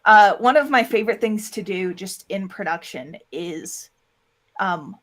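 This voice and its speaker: Animated audio drama producer chatting casually. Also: medium pitch, measured pace. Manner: animated, acts out quoted lines. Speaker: audio drama producer and sound designer, interview guest. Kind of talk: casual interview chat.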